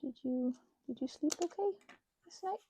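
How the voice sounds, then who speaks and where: A woman giving an unscripted ASMR-style craft ramble as host, halting and self-correcting in an earnest way, close to the mic. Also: high-pitched, animated, warm tone, a little hiss or hum.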